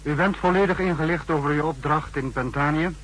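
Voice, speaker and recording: A man playing a stern, commanding secret service chief in a 1960s radio drama, with formal, measured delivery. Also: a little high, fairly bright tone, slight background noise, natural voice, low-quality recording. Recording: humming old 1960s radio recording.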